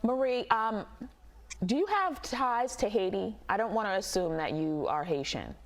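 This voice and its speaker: A woman news host interviewing a guest in a measured, probing way. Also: medium pitch, lively intonation.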